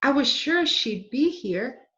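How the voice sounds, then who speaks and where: A woman reading a storybook, voicing a kind, curious young girl with expressive care. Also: high voice, slow, pitched above the performer's own voice, full character voice. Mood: puzzled. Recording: roomy, dull lo-fi recording.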